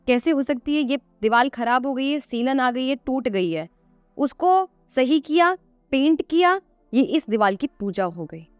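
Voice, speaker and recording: A woman's voice: high-pitched, dry recording. A woman guest on a podcast, explaining earnestly and conversationally, repeating phrases for emphasis and posing rhetorical questions.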